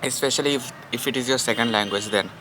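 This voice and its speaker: A man's voice: mid-range voice, fast talker, background noise. Chatty Bengali man vlogging outdoors. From India. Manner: rambling, repeats himself, chatty asides to viewers. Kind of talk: casual vlog while eating outdoors. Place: public place outdoors.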